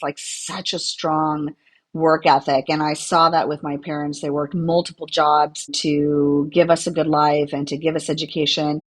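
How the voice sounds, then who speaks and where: A woman in her fifties, a Spanish native speaker from the United States, talking reflectively with frequent fillers as a podcast guest. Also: fairly low pitch. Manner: reflective, many fillers and restarts. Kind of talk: podcast interview.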